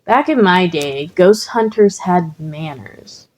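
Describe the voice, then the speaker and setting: Storybook role: bored, sarcastic spirit voiced by a woman, mellow, weary, slow grumble. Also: fairly low pitch, animated. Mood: grumbling. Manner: weary, sardonic. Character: bored, grumbling, sarcastic old-fashioned ghost.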